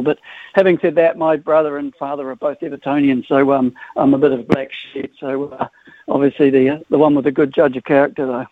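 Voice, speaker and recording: A man in his fifties with a New Zealand accent, a football supporter talking enthusiastically in a radio phone interview, full of halting restarts. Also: mid-range voice, no room sound. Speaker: football supporters club guest. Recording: tinny phone line.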